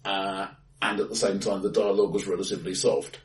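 A man co-hosting a casual film discussion, talking in a measured, analytical and somewhat hesitant way. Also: sits low, level tone. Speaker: podcast host. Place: indoors, reverberant room, face to face. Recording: echoey bright room, distant mic.

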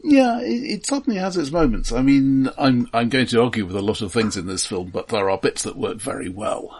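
A middle-aged man co-hosting a film podcast, giving measured opinions in casual talk and often repeating words as he starts. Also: mid-range voice, animated, a little mellow.

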